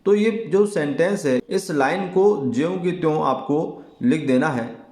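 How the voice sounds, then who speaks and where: Higher-pitched male teacher in echoey room. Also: a little mellow. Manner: deliberate, explanatory, repeats key phrases. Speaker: Hindi teacher. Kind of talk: instructional exam lesson.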